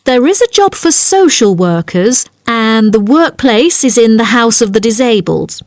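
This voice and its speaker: A woman's voice: a little high, big pitch swings, a little slow, no room sound, clean studio sound. A woman playing a polite, helpful job centre agent in a scripted language-test dialogue, courteous and businesslike.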